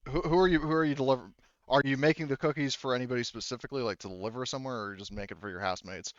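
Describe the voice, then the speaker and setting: A man acting as game master of a tabletop roleplaying game, improvising narration and questioning players in a halting, rephrasing way. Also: mid-range voice, no room sound, highs cut off.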